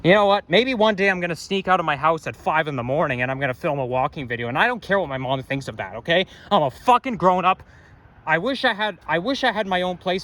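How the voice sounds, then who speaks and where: A man's voice: sits high, a bit bright. A young man venting and swearing in frustration while filming a walking vlog outdoors on a city street. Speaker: vlogger. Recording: street traffic noise.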